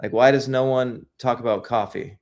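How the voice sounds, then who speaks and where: Mid-pitched man telling a story. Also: fairly even intonation, moderate pace, a little mellow. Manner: storytelling, rambling with restarts and "like". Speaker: guest filmmaker. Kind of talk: casual interview chat.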